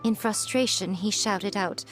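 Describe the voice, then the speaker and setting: An actress playing a playful, self-assured, mysterious woman in an anime-style video game, with a smug, unhurried, teasing manner. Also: medium pitch, a bit bright, close to an everyday voice. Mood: sly, composed. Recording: music behind the voice.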